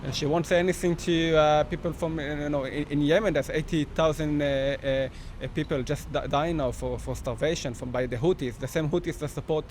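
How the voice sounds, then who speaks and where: Man, halting delivery full of fillers, a bit high, outdoor street hum behind. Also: steady tone, darkish tone. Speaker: interviewee.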